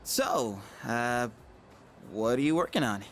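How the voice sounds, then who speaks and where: A man's voice: mid-range voice, animated, slow, soft background music. A man voicing a friendly, awkward teenage boy in a video game, talking casually and hesitantly. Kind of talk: English video game dub acting, casual dialogue.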